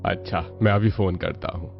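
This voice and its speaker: A skeptical middle-aged husband from a cartoon family tale, acted by a man. His voice is pitched down, measured and deep. The audio is dull with music beneath. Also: fairly even intonation, gravelly. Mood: doubtful, resigned. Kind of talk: Hindi cartoon story dialogue.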